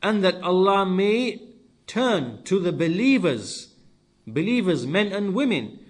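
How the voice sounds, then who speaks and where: A man with a somewhat high voice delivers a religious lesson. He lays out his explanation in short, deliberate phrases. Key words come back again, repeated for emphasis, as a teacher would do. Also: big pitch swings. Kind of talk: lesson-style sermon explaining scripture.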